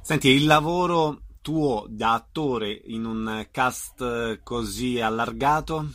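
A man with a medium-pitched voice hosts an arts programme. He announces events and asks his guests questions, addressing them informally and in a friendly manner. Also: slow, a bit bright. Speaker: radio interviewer, host.

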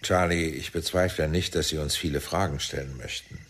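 An actor playing a grandiloquent, commanding spymaster with a husky voice and formal, courteous manner in a radio drama. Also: male voice, very deep voice, fairly even intonation, fairly bright tone. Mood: solemn, persuasive. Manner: formal, measured politeness.

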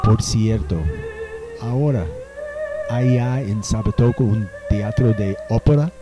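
A man playing a refined, musical old gentleman from the 1800s in a radio drama, speaking in a slow, reflective storytelling way. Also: on the low side, dull audio. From Colombia. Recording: music bed under the voice.

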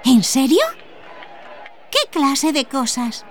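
A very high, childlike voice of an innocent young daughter in a radio drama. She is inquisitive and full of questions, with a street crowd behind her. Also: very animated, takes it slowly, a little hiss or hum, exaggerated voice. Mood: curious.

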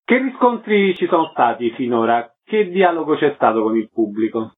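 A man's voice: medium pitch, very animated, normal speed, husky. A man hosting a radio interview, speaking in a concise, presentational way. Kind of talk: radio interview, announcing show details. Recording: narrow, phone-like radio sound.

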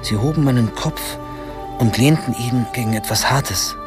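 A man's voice: medium pitch, moderate pace, over music, lightly acted. An actor playing a teenage boy who narrates his misadventures in a radio drama, in a wry and dramatic storytelling manner. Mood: wry suspense.

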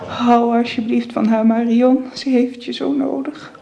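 A slow, creaky, dark female voice on a dull radio drama recording. An actress plays a dying wife, frail and in pain. She pleads weakly. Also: on the high side, little pitch movement. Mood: weak, pleading. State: weak, in pain. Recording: dull, band-limited sound.

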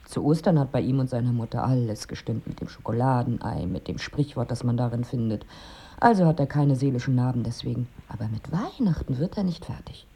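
Eine Schauspielerin spielt in einem Hörspiel eine entnervte Ehefrau, die sich vertraulich und trocken beklagt, mit rauchig-hauchiger Stimme. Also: female voice, a bass voice, expressive, fairly quick, mellow, full character voice. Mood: dryly aggrieved. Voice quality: husky, breathy. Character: exasperated wife fleeing Christmas with her ever-sleeping husband. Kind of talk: radio drama acting.

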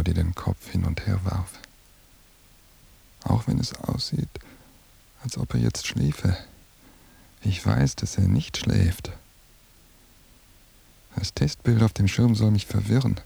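A brooding surveillance agent thinking to himself in a radio play. His voice is dark and very husky, heavy with fry. The delivery is terse, bitter and obsessive, seething underneath. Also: low-pitched, full character voice. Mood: brooding, menacing. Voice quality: gravelly, husky. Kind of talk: radio drama interior monologue.

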